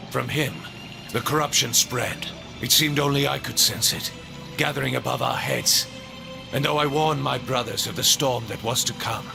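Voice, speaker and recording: An actor playing a proud, grave ancient angelic ruler in a video game, grandiose and theatrical, with a heavily gravelly voice. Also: male voice, medium pitch. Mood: brooding. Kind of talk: video game cutscene acting. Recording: music behind the voice.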